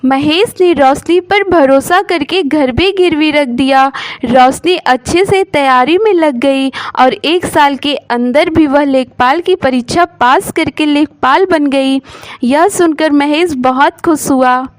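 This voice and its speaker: A woman's voice: sits high, slow pace, dry recording. A woman storyteller giving scripted narration of a moral story.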